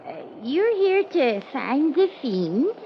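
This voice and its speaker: A woman's voice: high-pitched, very animated, slow pace, full character voice. An actress playing a prim, easily flustered spinster landlady in a 1950s radio drama, speaking hesitantly. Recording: muffled, hissy 1950s radio recording.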